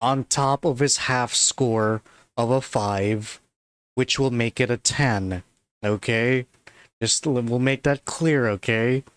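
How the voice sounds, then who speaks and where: A man talks through his opinions in a relaxed, rambling way, leaning on little fillers and breaking into a laugh here and there. His voice sits at an ordinary middle pitch. The recording is dry and close, with no room echo. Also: takes it slowly, smooth. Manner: loose and chatty, full of "like" and "you know", laughs now and then. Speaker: video commentator. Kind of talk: casual commentary.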